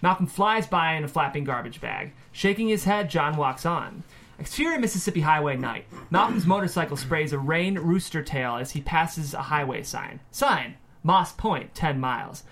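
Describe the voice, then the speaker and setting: A man narrates a screenplay at a table read. His voice is fairly high for a man, and he delivers scene headings and action lines as scripted narration. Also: animated, moderate pace, a bit bright. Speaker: stage-direction reader.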